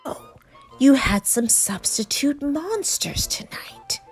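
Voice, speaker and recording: A woman voicing a hungry, menacing yet fond male monster in a children's storybook, in a breathy, whispery growl with a teasing, deliberate delivery. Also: high voice, huge pitch swings, soft background music, voice pushed up, heavily acted. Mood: ominous, teasing.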